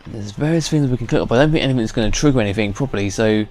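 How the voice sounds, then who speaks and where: A man with a medium-pitched voice hosts a gaming video. He comments casually as he plays through the game. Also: rapid-fire, a little mellow, faint music behind.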